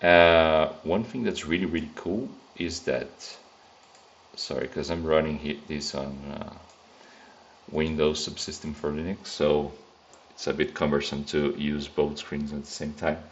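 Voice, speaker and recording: A man's voice: rumbling low, steady tone, measured pace, highs cut off, a little hiss or hum. A man whose first language is Brazilian Portuguese, speaking English with a Brazilian accent as he walks through a coding tutorial, talking casually and thinking aloud with many fillers and restarts. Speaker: software test engineer. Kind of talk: screen-recorded coding tutorial.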